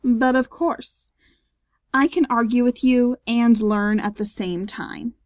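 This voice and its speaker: A woman's voice: a little high, fairly even intonation, slow, darkish tone, dry recording, studio quality. A woman voicing a playful, bantering unicorn harpist in a story reading. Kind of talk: audiobook character acting.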